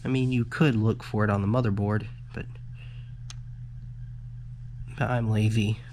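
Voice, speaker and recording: A man's voice: lowish voice, fairly even intonation, a little fast, darkish tone, slight background noise. A man giving a casual hands-on electronics tutorial, informal and muttering the odd aside as he works. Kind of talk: casual hands-on how-to narration.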